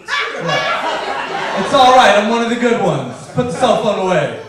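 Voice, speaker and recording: A man's voice: high-pitched, a little slow, over a noisy crowd. A young man from Afghanistan performing a stand-up comedy set, telling stories and acting out the people in them. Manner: deadpan storytelling, acting out characters in quotes. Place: comedy club stage. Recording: very echoey room, voice heard from a distance.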